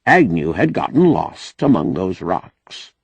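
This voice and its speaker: Audiobook role: ageing male starship captain, played by a male narrator, slow, gravelly, weary with command. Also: sits low, big pitch swings, no echo, full character voice. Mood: gruff, firm.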